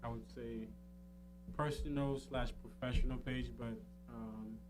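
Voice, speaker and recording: A man's voice: mid-range voice, steady tone, measured pace, background noise. A man in his thirties speaking informally as an interview guest, explaining what he offers with frequent "you know" and "um". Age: 30s. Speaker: interview guest, entrepreneur and financial educator. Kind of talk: casual talk, explaining his services. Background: plucked guitar music.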